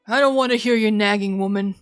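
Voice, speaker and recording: A woman voicing a loud, scornful, irritable husband in a visual novel game. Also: medium pitch, fast, clear, resonant tone, no room sound, clean studio sound, close to an everyday voice. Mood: curt, irritated. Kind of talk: visual novel dialogue read aloud. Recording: music behind the voice.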